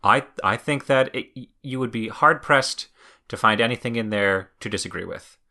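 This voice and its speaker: A man co-hosting a podcast. He chats in a measured, dry way that comes off serious, though he is joking. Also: medium pitch, vocal fry, sharp tone. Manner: dry, measured, seems serious but jokes. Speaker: podcast co-host. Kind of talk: casual chat.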